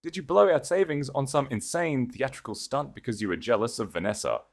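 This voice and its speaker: A man's voice: medium pitch, a little fast, creaky, voice pushed up. A man voicing a panicking, frantic husband in disbelief, with a thin, strained voice, in dramatic audiobook dialogue. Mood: hysterical disbelief. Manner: frantic, disbelieving, stammering out questions. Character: hysterical, panicking, desperate ambitious husband, adult man.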